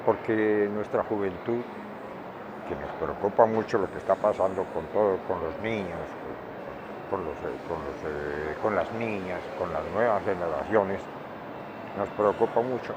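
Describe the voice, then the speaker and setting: An older man with a Colombian accent answering interview questions in a deliberate, emphatic way, often repeating words for weight. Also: low-pitched. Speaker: interviewee, veteran radio director and journalist. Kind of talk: reflective interview talk. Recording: dull lo-fi, clear outdoor traffic noise.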